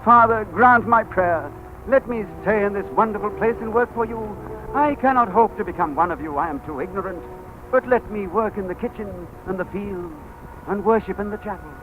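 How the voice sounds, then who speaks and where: Humble juggler played by a man, earnest and pleading, pitched up. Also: moderate pace, phone-quality sound, background noise, natural voice.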